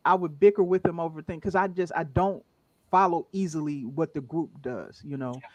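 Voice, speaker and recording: High-voiced chatty male co-host. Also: normal speed, dry recording. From United States. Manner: chatty, animated, earnest, greets chat viewers. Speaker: co-host of a gaming podcast. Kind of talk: casual livestream chat.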